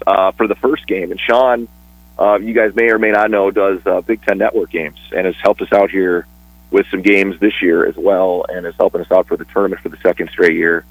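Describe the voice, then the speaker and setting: A man talking casually on a radio sports show, chatty and a bit rambling, with restarts and quick laughs. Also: low-pitched, level tone, breathy edge, no room sound, low-quality recording. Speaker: sports broadcaster guest.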